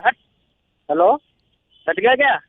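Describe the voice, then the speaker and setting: A man on a phone call, gruff and impatient, answering with irritated retorts. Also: very high voice, lively intonation, takes it slowly. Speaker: prank call recipient. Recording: very noisy phone line.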